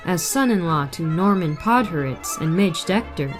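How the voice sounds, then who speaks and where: A woman reading a written political article aloud in a measured, deliberate way. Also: on the low side, expressive, music in the background. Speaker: reader.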